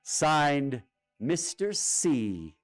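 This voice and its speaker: A man voicing a jolly old Santa Claus for a children's storybook, hearty and drawn-out. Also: on the high side, big pitch swings, soft background music, voice pushed down, full character voice.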